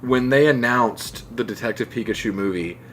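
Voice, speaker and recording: A man chatting casually as a podcast co-host, with an animated way of talking. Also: mid-range voice, normal speed, poor audio. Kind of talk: casual chat about video game movies. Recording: clear background noise.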